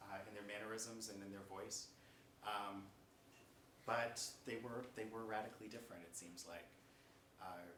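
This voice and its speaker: A man moderating a public theatre question-and-answer session, phrasing his thoughts haltingly and thoughtfully. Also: on the low side. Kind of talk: public Q&A discussion. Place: stage of a mid-sized theatre auditorium. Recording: echoey theatre, distant mic, noisy.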